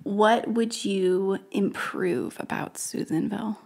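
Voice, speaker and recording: A woman in her thirties from Northern California co-hosting a podcast, upbeat and brisk, often laughing. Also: medium pitch, steady tone, gravelly, darkish tone, breathy edge. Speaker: podcast co-host. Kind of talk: casual chat.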